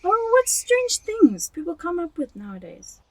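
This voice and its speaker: A fussy, affectionate elderly wife and mother, played by a woman in an amateur radio drama. The voice sits high and moves about a lot, full of motherly concern. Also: wildly swooping pitch, measured pace, a little hiss or hum, full character voice. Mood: warm, fussing.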